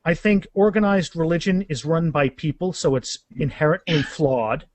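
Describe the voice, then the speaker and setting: A man's voice: on the high side, normal speed, darkish tone, dry recording. A man talking casually as an author in a podcast interview, often restarting and repeating words. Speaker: author being interviewed about their fantasy series.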